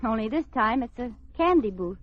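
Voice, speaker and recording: An affectionate, slightly dizzy housewife in an old radio sitcom. Her voice is high, coy and teasing. The recording is dull and aged. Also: animated, a little fast. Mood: coy, tender. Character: Liz, playful, scatterbrained, affectionate housewife. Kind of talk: 1940s-50s radio comedy acting.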